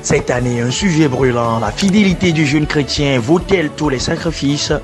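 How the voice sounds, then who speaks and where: Un homme à l'togolais lit le texte d'un spot promotionnel d'un ton percutant et déclamatoire. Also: male voice, fairly high pitch, moderate pace, music in the background. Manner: punchy, declamatory. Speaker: promo voice-over. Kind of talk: scripted promo narration.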